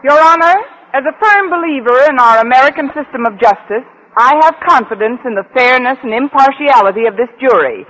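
An actress playing a wry, quick-witted high school teacher in an old radio comedy, tossing out dry wisecracks. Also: female voice, fairly bright tone. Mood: mock formal. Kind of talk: 1940s-50s radio comedy acting. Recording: slightly noisy old radio recording.

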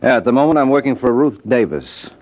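An actor playing a courteous, businesslike American private detective in an old radio crime drama. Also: male voice, mid-range voice, very animated, quick pace, lo-fi sound, lightly acted.

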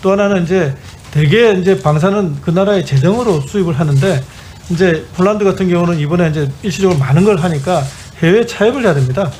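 Male executive at a hearing, slightly high mellow voice, formal but halting answers. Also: slight background noise. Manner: hesitant, halting explanation. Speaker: bank chief executive testifying to parliament. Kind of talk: formal testimony, answering questions. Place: parliamentary hearing room.

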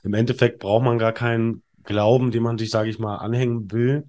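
A man's voice: medium pitch, little pitch movement, brisk, a little mellow. A man in his thirties, a podcast guest telling candid stories in a rambling way with lots of fillers and restarts. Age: 30s. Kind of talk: candid conversational storytelling.

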